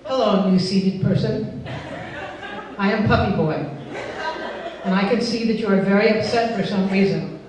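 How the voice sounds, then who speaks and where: A woman's voice: medium pitch, normal speed, pauses often, crowd noise, close to an everyday voice. A woman voicing an earnest, obsessive male dog who speaks formally and urgently in a comic story reading. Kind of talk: talking animal in a live comic story reading. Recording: echoey, noisy hall recording from far off.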